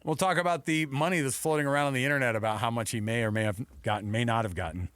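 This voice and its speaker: A man's voice: medium pitch, racing, a bit bright, smooth, no echo. An American man hosting a sports radio show, talking casually and conversationally into a close studio mic. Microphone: close studio broadcast microphone.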